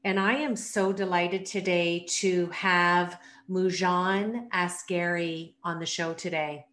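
A woman hosts a leadership podcast, speaking slowly and with deliberate care. She introduces her guest enthusiastically and asks questions in a measured, even way. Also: fairly low pitch. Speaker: podcast host. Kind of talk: interview hosting.

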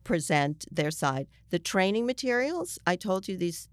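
A woman with an American accent and a fairly low, clear voice talks in a conversational interview. She explains with emphasis, pausing and restarting as she builds her point. The sound is dry and close. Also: very animated, dry recording. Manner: explanatory, emphatic, halting mid-sentence. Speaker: journalist being interviewed.

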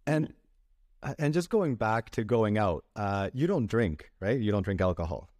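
A man's voice: fairly low pitch, expressive, moderate pace, a little mellow, no echo, studio quality. A man hosting a casual conversational interview, asking questions and chatting along with his guest. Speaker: podcast host, interviewer.

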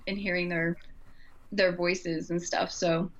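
A woman's voice: lowish voice, level tone, slow pace, highs cut off. A woman co-hosting a podcast in an informal, enthusiastic, conversational way. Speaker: podcast co-host. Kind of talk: casual podcast chat.

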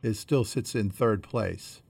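A man co-hosting a conversational podcast, explaining things in a measured way as he thinks aloud. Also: on the low side, gravelly, darkish tone, no room sound, studio quality. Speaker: podcast co-host.

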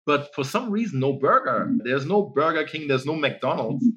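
A man's voice: a little high, moderate pace, dull audio, a little hiss or hum. A man in his forties from Germany, chatting casually as a guest in an interview, often saying "you know". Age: 40s. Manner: relaxed, rambling, many "you know" fillers. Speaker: founder, guest.

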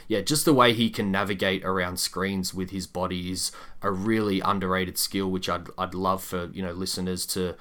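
A man co-hosting a casual sports podcast in a measured, analytical, conversational way. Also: sits low, steady tone, fairly bright tone. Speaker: podcast co-host.

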